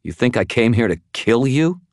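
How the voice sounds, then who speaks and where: A man voicing a hot-tempered, sarcastic wizard with a forceful, exasperated manner in an audiobook. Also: sits low, lively intonation, moderate pace, no room sound, studio quality, pitched above the performer's own voice. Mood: indignant, disgusted.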